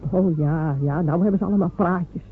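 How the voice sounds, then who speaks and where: A woman's voice: lowish voice, moderate pace, breathy edge. A woman playing a proud, loyal fisherman's wife who is waiting for her husband, speaking firmly in a radio play. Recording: narrow phone-like radio recording with faint hiss.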